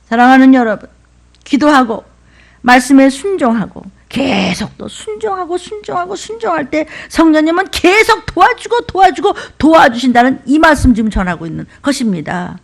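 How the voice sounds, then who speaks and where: Middle-aged woman pastor, Seoul accent, animated and slightly high-pitched, emphatic rhythmic preaching. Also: normal speed, creaky. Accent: Seoul dialect. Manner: emphatic, rhythmic listing with sudden whispers and shouts. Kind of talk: sermon.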